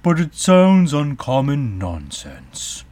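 A man voicing a mournful, querulous Mock Turtle, a sentimental storybook creature with drawn-out, doleful delivery. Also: on the high side, huge pitch swings, darkish tone, voice pushed up, full character voice. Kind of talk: storybook character acting.

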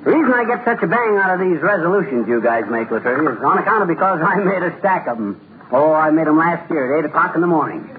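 Through a scratchy, thin radio signal comes a man acting a brash, self-satisfied husband. His voice is high and bouncy, with slangy, boastful delivery in old radio comedy style. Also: animated, fast, natural voice. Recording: scratchy, narrow-band old radio broadcast.

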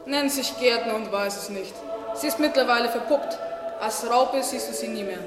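A woman's voice: fairly high pitch, normal speed, over music, lightly acted. A woman playing a cheeky, vain female butterfly in a German radio play. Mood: teasing. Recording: distant echoey hall, faint noise.

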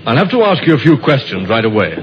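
A man playing a calm, businesslike police inspector in a 1950s radio drama, measured and probing. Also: medium pitch, close to an everyday voice. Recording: dull old radio recording, faint noise.

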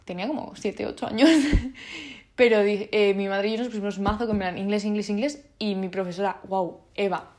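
A woman's voice: medium pitch, moderate pace, a little mellow. A nineteen-year-old woman from Spain with a Spanish accent, talking in a casual vlog with many restarts and fillers and lots of slang. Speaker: vlogger.

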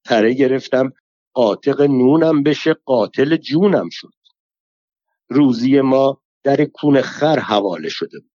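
Scolding older woman pilgrim, as a male reader puts her on, bitter and foul-mouthed, piling up curses and folk sayings, told in a storybook reading. The sound is dry and close, a little dull in the highs. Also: expressive, dark tone, no echo, lightly acted. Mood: lamenting. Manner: cursing, complaining torrent of folk sayings. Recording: slightly dull lo-fi dry recording.

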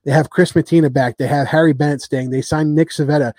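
Mellow man chatting about rugby. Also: fairly high pitch, steady tone, fast talker, no room sound. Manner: rambling, lots of ums, joking trash talk. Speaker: podcast host. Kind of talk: casual rugby chat.

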